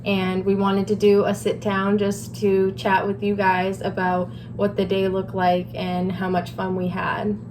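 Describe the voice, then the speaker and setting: A woman's voice: mid-range voice, completely flat delivery, unhurried, few pauses, over music, rough recording. A young adult woman hosting a vlog, telling a personal story in a casual chat with her partner. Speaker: vlog host.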